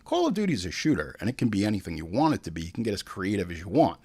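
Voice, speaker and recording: A man's voice: fairly low pitch, wildly swooping pitch, very fast, darkish tone, slightly rough. A man hosting a show with casual, opinionated film commentary, swearing freely and acting out imagined bits of dialogue. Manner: informal, frequent swearing. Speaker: show host.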